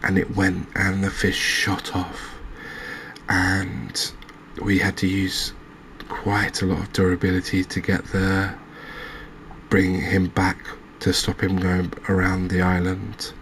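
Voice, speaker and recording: Very flat, low-voiced man, soft ASMR narration. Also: takes it slowly, a little hiss or hum, low-quality recording. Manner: soft-spoken, calming, hesitant. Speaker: host of a cozy gaming channel. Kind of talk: ASMR game recap narration.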